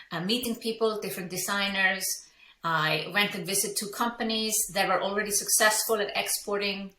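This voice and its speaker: A woman's voice: mid-range voice, sharp tone, echoey room. A woman from Iceland, a business coach and entrepreneur, telling her story candidly in an interview, with frequent fillers and restarts.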